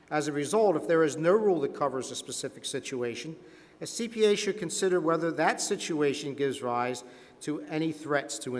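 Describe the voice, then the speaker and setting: A man playing a formal, probing board member questioning a witness in a staged hearing, measured and deliberate. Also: a little high, close to an everyday voice. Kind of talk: scripted dramatization of a hearing. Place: large meeting hall with sound system. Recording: long reverb of a large hall.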